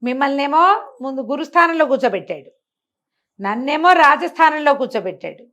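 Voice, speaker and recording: A woman's voice: high-pitched, animated, brisk, a bit bright. Woman voicing a confident clever young boy disciple. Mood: confident, teaching. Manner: confident, questioning, giving orders.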